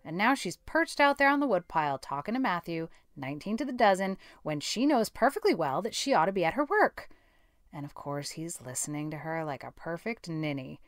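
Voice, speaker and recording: A woman's voice: lowish voice, animated, fairly quick, no echo, clean studio sound, natural voice. A woman voicing a stern, practical older spinster guardian, brusque and scolding, in an audiobook. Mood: exasperated. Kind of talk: audiobook character acting.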